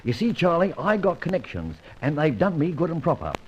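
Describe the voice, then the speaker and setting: A man's voice: a little high, big pitch swings, brisk. An actor playing a male detective who poses as a boastful small-time crook, theatrical and wry in old-time radio detective style. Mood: boastful, cocky. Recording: muffled, hissy old radio recording.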